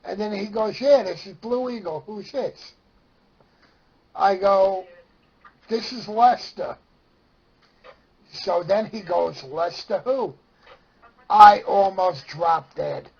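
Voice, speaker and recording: Raspy, high-pitched Brooklyn man reminiscing. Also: takes it slowly, long pauses. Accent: Brooklyn New York. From Brooklyn, New York. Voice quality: raspy, gravelly. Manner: excitable, acts out remembered dialogue. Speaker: interview guest. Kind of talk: animated casual storytelling. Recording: dull lo-fi sound.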